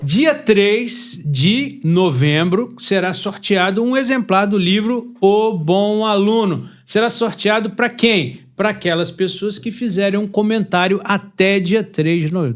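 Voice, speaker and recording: High-voiced chatty Brazilian man. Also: measured pace, few pauses. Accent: Brazilian Portuguese. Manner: chatty, animated, laughs easily. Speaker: podcast host. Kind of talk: casual chat.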